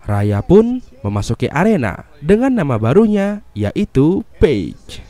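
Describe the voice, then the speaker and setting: Indonesian male recap narrator: congested nasal voice, animated pitch, mellow tone, casual slang. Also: unhurried, soft background music. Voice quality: nasal, stuffy-nosed. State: unwell, congested. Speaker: host and narrator of film recap videos.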